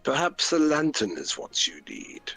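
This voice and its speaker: A man voicing a kindly, wise old wizard in a slow, grand and solemn manner, reading a fairy tale. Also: mid-range voice, huge pitch swings, creaky, pushed and bright, breathy edge, no echo, soft background music, heavily acted. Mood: thoughtful. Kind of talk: fairy-tale read aloud during a game.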